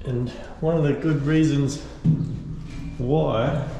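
A man in his thirties talks through his boat restoration in a loose, rambling way, full of fillers. His voice sits at an ordinary middle pitch. The sound rings with a long, hollow reverb, as if inside a metal hull, over faint background noise. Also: fairly even intonation, takes it slowly, creaky, dark tone. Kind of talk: casual show-and-tell narration. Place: on a steel boat under restoration, outdoors.

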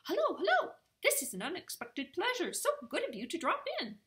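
Lady doing a tiny excitable boy bat from a storybook, high and fussy. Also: moderate pace, heavily acted. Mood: delighted. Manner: fussy, effusive.

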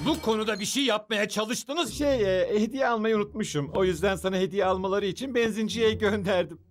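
A man's voice: high voice, brisk, soft background music, lightly acted. A man voicing a childish, dim but dramatic, good-natured cartoon father in a dub. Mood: sheepish. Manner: goofy, overdramatic. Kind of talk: animated cartoon dub acting.

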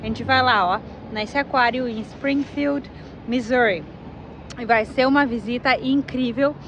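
A woman's voice: on the high side, unhurried. A woman travel vlogger chatting casually and enthusiastically, often correcting herself as she talks. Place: tourist aquarium and museum. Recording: slightly dull lo-fi audio with faint background noise.

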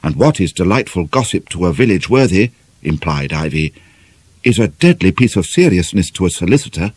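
Expressive British male narrator, slightly husky. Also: low-pitched, a little fast, no echo. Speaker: audiobook narrator. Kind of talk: dramatic scripted novel narration.

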